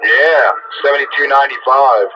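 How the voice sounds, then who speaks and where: A man's voice: medium pitch, steady tone, moderate pace, dull audio. A man chatting casually on the air as a radio operator, heard over a two-way radio. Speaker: amateur and CB radio operator, uploader of the show. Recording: noisy band-limited CB radio transmission.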